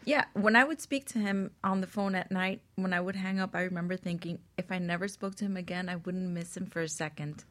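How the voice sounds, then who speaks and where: A woman's voice: lowish voice, brisk, few pauses, a bit bright. A woman speaking as a panelist in a casual, conversational radio discussion. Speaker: talk show panelist.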